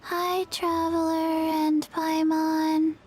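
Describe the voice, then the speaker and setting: Small girl, high voice, very slow delivery, anime game. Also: monotone, a little mellow, soft background music, full character voice. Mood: flat, gentle.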